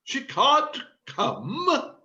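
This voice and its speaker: A man's voice: extremely high pitch, huge pitch swings, very slow, bright, forceful tone, lo-fi sound, exaggerated voice. A man playing an exasperated, theatrical amateur stage director in a read-through of a comedy play. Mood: forced calm.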